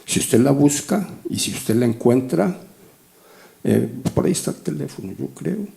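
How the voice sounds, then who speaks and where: A man's voice: medium pitch, expressive, moderate pace, creaky, mellow. A man in his sixties preaching a conversational, teaching sermon, often checking in with short rhetorical questions. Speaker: pastor teaching a Bible class.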